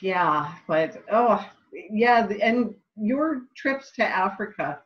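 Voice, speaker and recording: Upbeat woman host, life-coach podcast, medium pitch, slightly muffled audio. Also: animated, takes it slowly. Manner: upbeat, enthusiastic introductions. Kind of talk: casual podcast interview.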